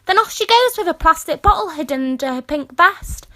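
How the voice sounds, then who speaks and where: A woman's voice: very high-pitched, expressive, fairly quick, pushed and bright, voice pushed up, exaggerated voice. A woman voicing an exasperated, sardonic sixteen-year-old girl in an audiobook, telling her story in a wry, emphatic, complaining way. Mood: scandalised, exasperated. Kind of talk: first-person audiobook narration.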